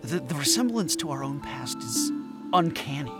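A man's voice: fairly high pitch, expressive, moderate pace, music in the background. A man acting a scatterbrained, dreamy anthropology professor in an audio drama, earnest and prone to hesitant stammering. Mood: intrigued.

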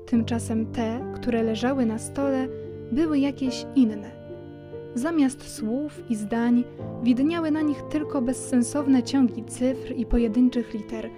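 A woman's voice: a little high, slow. A woman narrator reading a scripted children's story. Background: music.

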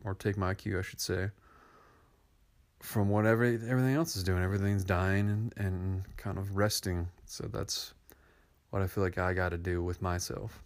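Low-voiced man, unhurried, reflective, folksy monologue. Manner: slow, thoughtful, folksy, with pauses and uhs. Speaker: contributor sharing reflections.

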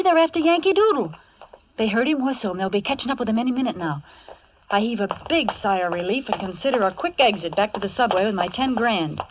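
A woman's voice: a little high, highs cut off, close to an everyday voice. An actress playing a wisecracking, nervous young American working woman who narrates her own danger in slangy 1940s radio suspense style. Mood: uneasy, wry. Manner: slangy, hard-boiled, wry. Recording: noisy, band-limited old radio recording.

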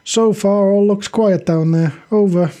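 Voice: medium pitch, a little slow, mellow, pitched above the performer's own voice, lightly acted. A narrator voicing a snarky female condor scout leader making military radio calls in an audiobook. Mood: clipped, businesslike.

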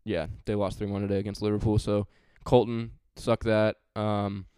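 A man's voice: lowish voice, flat delivery, normal speed, mellow, clear, resonant tone, no echo. A man hosting a casual sports podcast, chatty and joking, with frequent fillers. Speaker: podcast host.

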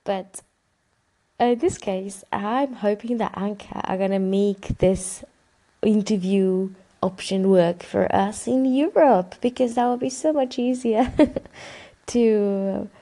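Woman, 30s, gravelly fry, easygoing interview guest who rambles with fillers. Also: medium pitch, takes it slowly. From Romania. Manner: relaxed, rambling, with fillers and small laughs. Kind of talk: casual interview answers.

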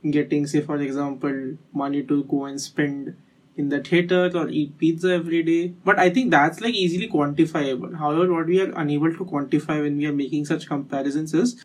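A young man in a casual discussion who reflects out loud, weighing each point and filling pauses with "like". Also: on the high side. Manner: reflective, thinks aloud with many "like" fillers. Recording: voice a bit distant from the mic.

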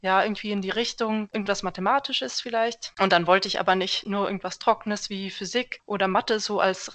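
Relaxed young woman, podcast guest. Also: medium pitch. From Germany. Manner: relaxed, thoughtful, fillers like "also" and "genau". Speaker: podcast guest, civil engineer. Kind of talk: casual interview answers.

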